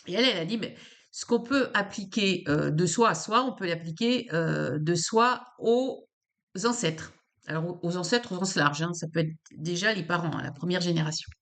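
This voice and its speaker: A woman with a fairly low-pitched voice explains psychology in an informal talk to camera. She speaks hesitantly, with many fillers, repeats words, and restarts her sentences. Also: moderate pace. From France.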